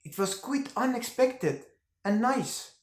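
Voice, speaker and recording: A man with an Estonian accent, a native Estonian speaker, narrating his own vlog in earnest, slightly halting English. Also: very high-pitched, expressive, roomy sound, soft background music. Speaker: vlogger.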